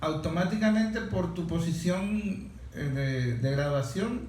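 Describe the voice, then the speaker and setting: Radio interviewer: man, lightly high voice, inquisitive conversational delivery, strongly reverberant room. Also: slow pace, a little mellow. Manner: probing, stacks questions. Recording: very echoey room, faint noise.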